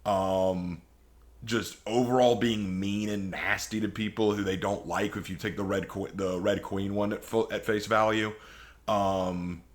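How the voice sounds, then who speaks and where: A man's voice: lowish voice, fairly even intonation, measured pace. A man hosting a reaction video with casual, rambling and opinionated commentary.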